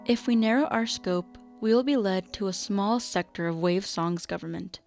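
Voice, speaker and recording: A woman narrating a scripted radio drama in a measured storytelling delivery. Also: mid-range voice, darkish tone, faint music behind.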